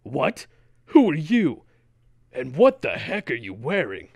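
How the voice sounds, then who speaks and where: A man's voice: mid-range voice, wildly swooping pitch, slow, vocal fry, slightly rough, no echo. Exasperated man, dry close sound.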